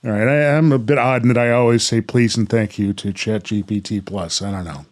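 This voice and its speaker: A man's voice: fairly low pitch, steady tone, a little fast. A man hosting a podcast, chatting casually in a jokey, self-aware and rambling way. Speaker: podcast host.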